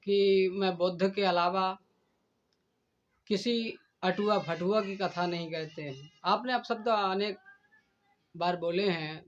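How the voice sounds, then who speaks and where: A man's voice: high-pitched, fairly bright tone. Stern man, dull lo-fi sound. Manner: stern, deliberate, preachy. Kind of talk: admonishing speech addressed to a rival. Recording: dull, lo-fi recording.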